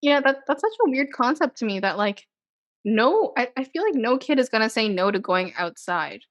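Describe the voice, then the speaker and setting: A young woman from Canada co-hosting a podcast, chatting casually with lively swings in pitch and frequent laughter. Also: moderate pace, lo-fi sound. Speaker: podcast co-host. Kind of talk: casual chat.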